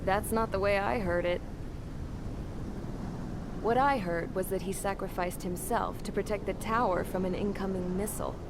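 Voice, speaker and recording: A woman's voice: medium pitch, steady tone, normal speed, background noise, natural voice. An actress playing a blunt, streetwise young woman who recounts war in a plain-spoken, wry way for a game cutscene. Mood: reflective, wry. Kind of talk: game cutscene dialogue and narration. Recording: music behind the voice. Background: music.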